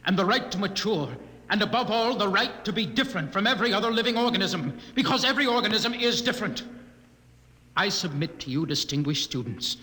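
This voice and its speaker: An actor playing a passionate, defiant young outcast rebel in a 1950s radio drama, speaking in an impassioned, oratorical way. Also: male voice, sits high, pushed and bright, long reverb tail.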